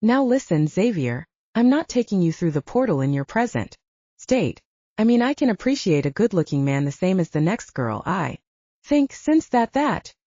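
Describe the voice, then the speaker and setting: Woman reading as a young audiobook heroine, wry, anxious, bossy, lively pitch, soft-edged tone. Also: moderate pace, dry recording, dull audio. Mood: flustered scolding.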